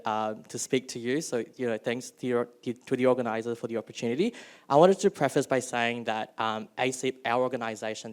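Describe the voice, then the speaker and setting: A young man from Malaysia speaking as a youth delegate on a panel, hesitant, with many ums and restarts. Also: medium pitch. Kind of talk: panel talk.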